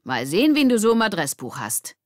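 A woman's voice: mid-range voice, very animated, brisk, no echo, studio quality, voice pushed up, close to an everyday voice. A woman voicing a cocky, mocking schoolboy in a children's audiobook. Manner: mocking, challenging. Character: cocky, teasing, jealous schoolboy.

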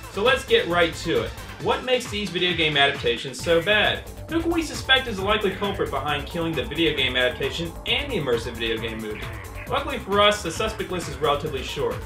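A man in his twenties narrating a scripted video essay as host, wry, sarcastic and profane, recorded in a small room at home. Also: a little high. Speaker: host of a video game movie review show.

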